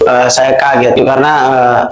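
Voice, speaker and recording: A man's voice: mid-range voice, level tone, moderate pace. A young man, a student, speaking casually and hesitantly in an interview. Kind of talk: casual testimonial. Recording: echoey room with music bed.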